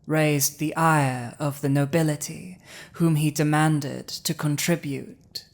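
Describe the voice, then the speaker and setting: A woman plays a commanding female dragon in an intimate audio drama. The voice is very low for a woman and unhurried, with weighty pauses that give each phrase a dramatic, imposing gravity. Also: steady tone. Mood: stunned, piecing together. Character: villainous commanding female dragon, intense yet softening to compassion. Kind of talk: dramatic ASMR audio roleplay.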